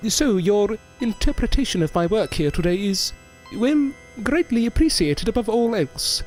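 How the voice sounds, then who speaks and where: A man's voice: sits high, sing-song, normal speed, a little mellow, rough recording. A man playing a genteel, modest ventriloquist in an audio drama, speaking in polite, flowery, formal phrases. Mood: humble, courteous. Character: genteel, modest male ventriloquist, courteous and flattering. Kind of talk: scripted audio drama acting. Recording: music behind the voice.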